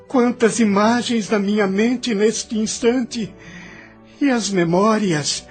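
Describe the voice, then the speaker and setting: A man playing an agitated, ailing immigrant in an audio drama, theatrical and impassioned, embittered by hardship. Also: sits high. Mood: feverishly exalted. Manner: theatrical, impassioned, swinging from faint to outcry.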